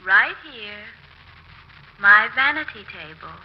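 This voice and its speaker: A woman playing the wistful ghost of a dead wife, faint and eerie, in 1940s radio horror style with drawn-out calls. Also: medium pitch, expressive, slightly rough. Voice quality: faint, ethereal. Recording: muffled, hissy old radio recording.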